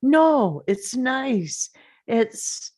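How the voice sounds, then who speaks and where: A woman's voice: high-pitched, big pitch swings, extremely slow, darkish tone, full character voice. A woman playing an awkward, polite parent overwhelmed by grief, tentative and trailing off, in a dramatic script reading.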